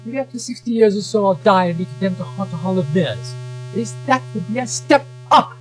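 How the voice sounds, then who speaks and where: A man's voice: high voice, expressive, fairly quick, soft background music, low-quality recording. A man playing a wry, wordy young companion in an audio drama, prone to sarcasm and self-pity. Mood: exasperated, self-pitying. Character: sarcastic, self-pitying young male companion, amateur psychoanalyst.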